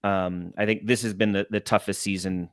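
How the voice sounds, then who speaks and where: A man in his thirties hosting a casual sports interview, speaking haltingly and often repeating words as he goes. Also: fairly low pitch, fairly even intonation, smooth, dry recording, clean studio sound. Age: 30s. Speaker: podcast host, interviewer.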